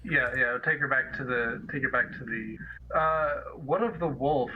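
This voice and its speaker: A man's voice: mid-range voice, normal speed, narrow, tinny audio. A man chatting casually as a tabletop game player, tentative, repeating and restarting his phrases. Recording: clearly noisy microphone with clicks.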